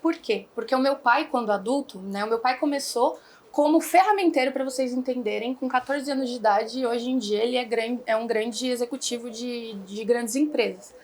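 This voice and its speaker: A woman's voice: on the high side, normal speed, darkish tone. Woman, from Brazil, young adult, casual interview guest, rambling and chatty. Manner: chatty, rambling with many fillers, laughs often. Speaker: guest, founder of an online English school.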